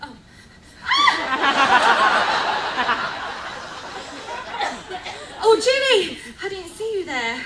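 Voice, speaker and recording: Woman acting dreamy would-be princess, very high. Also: sing-song, takes it slowly, exaggerated voice. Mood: flustered. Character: Charlotte, dreamy, vain young would-be princess. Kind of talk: amateur stage radio play. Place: public lounge on a cruise ship. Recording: echoey distant mic, loud crowd and noise.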